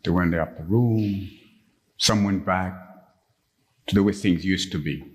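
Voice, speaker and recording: A middle-aged pastor from Uganda delivers a storytelling sermon in a fairly low voice that leaps up high and emphatic when stirred. His words ring out with the long reverb of a church sanctuary. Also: a little slow.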